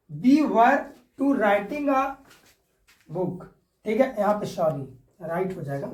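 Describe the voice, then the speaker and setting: A man teaching a grammar lesson step by step, repeating points and checking for understanding with short tag questions. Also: very high voice. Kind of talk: classroom-style grammar lesson, explaining step by step. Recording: echoey room, distant microphone.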